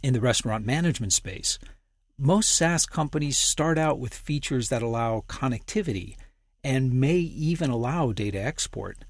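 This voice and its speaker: A man's voice: mid-range voice, animated, normal speed, no room sound, studio quality. A man hosting a podcast, interviewing a guest and then commenting on his own in a conversational, thinking-aloud way. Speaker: podcast host.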